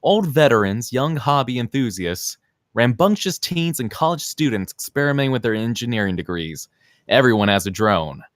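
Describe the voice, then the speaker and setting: A man delivers scripted screenplay narration with a very lively, varied pitch. His voice is mid-range and recorded dry, with no room echo. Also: moderate pace. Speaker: stage-direction reader.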